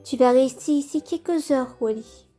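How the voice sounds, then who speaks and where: Woman voicing a high, soft schoolboy. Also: moderate pace, faint music behind. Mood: matter-of-fact. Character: polite, reassuring young schoolboy puppeteer. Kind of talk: comic dub voice acting.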